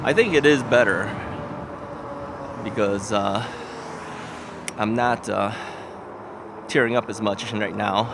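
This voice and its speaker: An older man vlogging casually about his e-bike, rambling and chatty with fillers and soft laughs, sniffling from a stuffy nose. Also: lowish voice, slow, long pauses. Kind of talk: casual vlog chat. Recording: outdoor recording with loud traffic noise. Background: loud traffic and street noise.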